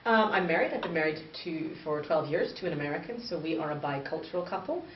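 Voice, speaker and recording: A woman's voice: low voice, lively intonation, fast talker. A woman in her thirties from northern Canada, a bilingual education expert answering interview questions in an earnest, explanatory way. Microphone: far from the mic. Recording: distant, echoey, muffled with faint noise.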